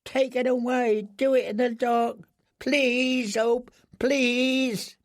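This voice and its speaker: A man's voice: very high voice, little pitch movement, slow, dry recording, studio quality, voice pushed up, exaggerated voice. A man voicing a broken, starving prisoner who sounds aged, mumbling and pleading in a thin, weak voice for an audiobook.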